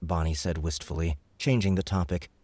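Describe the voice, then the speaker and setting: A man's voice: sits low, fairly even intonation, brisk, a little mellow, no echo. A man reading scripted fiction narration for an audiobook.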